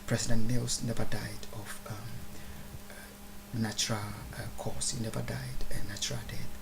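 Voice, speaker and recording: A man from Ghana with a Ghanaian accent giving a solemn, formal, oratorical address, halting with long pauses and deliberate repeated phrases. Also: mid-range voice, level tone, creaky, in a whisper, rough recording. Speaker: speaker recording a video address. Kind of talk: solemn public address, unscripted.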